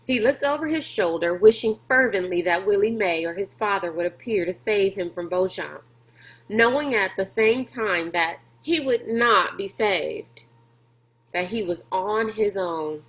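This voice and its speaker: Female teacher-narrator, mid-pitched, slow and expressive storybook read-aloud. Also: rough recording. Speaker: teacher reading aloud to pupils.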